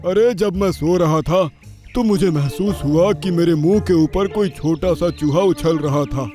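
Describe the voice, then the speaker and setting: A man's voice: fairly high pitch, measured pace, mellow, faint music behind, close to an everyday voice. A man voicing an earnest male jungle animal in a cartoon story, speaking emphatically. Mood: relaxed, puzzled. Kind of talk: Hindi cartoon moral story voice acting.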